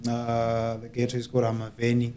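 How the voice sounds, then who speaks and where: A man from Zimbabwe, a reggae musician being interviewed as a guest, easygoing and quick to laugh. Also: mid-range voice, slight background noise. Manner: easygoing, flat delivery, frequent laughs. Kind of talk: interview answers.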